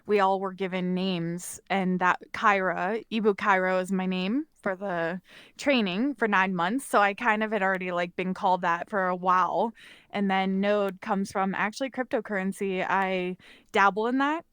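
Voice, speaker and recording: A woman's voice: medium pitch, normal speed, a bit bright, clean tone, no echo. A woman chats informally as a guest on a podcast. Her voice is clean and resonant, recorded dry with very little room. She talks in an easy conversational way and laughs readily. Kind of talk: casual chat.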